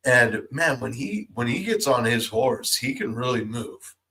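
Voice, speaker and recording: A man's voice: medium pitch, animated, a little slow, pushed and bright, poor audio. A man co-hosting a fantasy football podcast, talking casually with frequent hesitations and fillers. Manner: chatty, hesitant, many ums and you-knows. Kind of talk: casual sports analysis chat.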